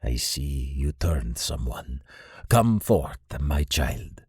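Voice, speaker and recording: A man playing a stately male vampire lord in an audiobook, with a formal, measured, commanding manner. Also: very deep voice, sing-song, a little mellow, husky, no room sound, clean studio sound, voice pushed down, exaggerated voice. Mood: imperious, approving.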